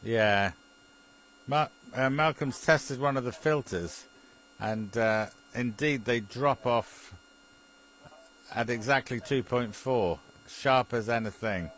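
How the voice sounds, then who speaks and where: A man's voice: mid-range voice, slow, a bit bright, low-quality recording. A British man, a radio hobbyist, chatting casually about technical matters in a hesitant, chuckling way and often repeating his phrases. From Britain. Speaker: amateur radio enthusiast.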